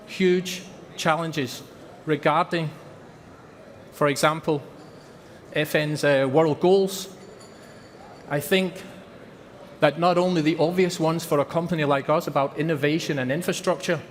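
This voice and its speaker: A man's voice: a little high, long reverb tail, slight background noise. A Scottish man giving an earnest presentation at a trade fair, repeating words for emphasis. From Scotland. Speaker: company presenter. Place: large exhibition hall.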